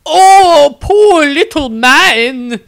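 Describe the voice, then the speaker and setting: A man's voice: very high-pitched, animated, drawn-out words, vocal fry, fairly bright tone, voice pushed up, heavily acted. A man voicing an overbearing, amorous, zealous nun in an audio story, gushing and cooing with great emphasis.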